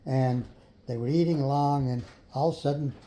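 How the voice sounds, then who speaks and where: An older man improvising a children's story in a folksy, unhurried way, pausing for others to join in. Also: medium pitch, steady tone, warm tone, a little hiss or hum. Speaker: grandfather storyteller.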